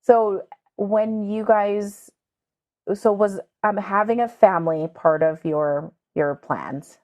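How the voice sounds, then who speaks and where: A woman's voice: mid-range voice, slow, narrow, tinny audio. A woman hosting a podcast, asking interview questions and affirming her guest. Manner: encouraging, affirming. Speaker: podcast host.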